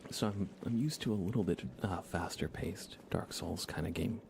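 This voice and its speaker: Deep-voiced, creaky man murmuring ASMR. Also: moderate pace, slight background noise. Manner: hushed, often slipping into whisper. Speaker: ASMR livestreamer. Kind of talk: soft-spoken ASMR gaming commentary, casual.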